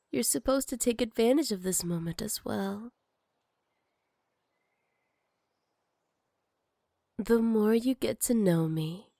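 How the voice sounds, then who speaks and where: An intimate, very dry close-mic recording of a possessive female villain acted by a woman. She teases and flirts in a breathy, mid-pitched voice that often sinks toward a whisper, with jealousy under every line in ASMR roleplay style. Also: mellow, no room sound, close to an everyday voice. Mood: coy, teasing.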